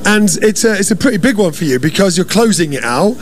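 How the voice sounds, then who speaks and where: A man interviewing a guest, asking questions in a brisk, teasing way. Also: high-pitched, lively intonation.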